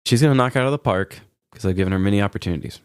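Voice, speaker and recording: A man's voice: on the low side, very fast, a little mellow, clean tone, no room sound, studio quality. A man co-hosting a podcast in casual, comedic chat with an offhand, joking manner. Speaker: podcast co-host.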